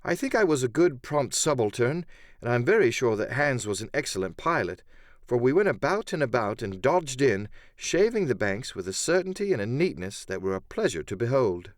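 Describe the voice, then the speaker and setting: A man's voice: mid-range voice, sing-song, a little fast, dry recording, studio quality. A man giving a dramatic first-person narration of an adventure story as an audiobook narrator.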